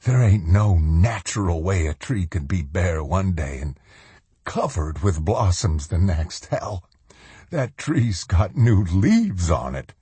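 A man's voice: sits low, sing-song, mellow, slightly rough, dry recording, full character voice. A man voicing an emotional, folksy old country man full of wonder in an audiobook story. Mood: choked with awe. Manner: folksy, exclamatory, drawn-out.

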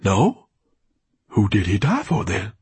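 A man's voice: on the low side, wildly swooping pitch, slow pace, darkish tone, breathy edge, no echo, exaggerated voice. A man voicing a grief-stricken, vain and theatrical bookish man who speaks in a dramatic, flowery way. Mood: sharp. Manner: dramatic, flowery, self-absorbed.

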